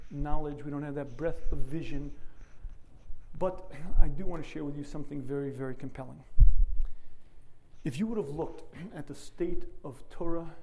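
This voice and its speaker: A middle-aged man giving an earnest Torah lecture, clearing his throat often. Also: fairly high pitch, mellow, rough recording. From United States. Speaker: rabbi.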